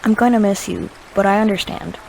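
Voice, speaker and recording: Woman acting a resolute survivor, medium pitch. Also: steady tone, a little fast, gravelly, noisy background, close to an everyday voice. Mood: wistful. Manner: earnest, determined. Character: resolute female refugee camp survivor. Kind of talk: audio drama acting.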